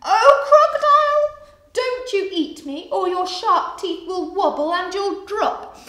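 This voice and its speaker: A woman voicing a small cheeky monkey in a children's storybook, chattering and pleading in an excitable sing-song way. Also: very high voice, a little slow, pitched above the performer's own voice, heavily acted. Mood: pleading, gleeful. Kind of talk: expressive children's storybook read-aloud. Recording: echoey room.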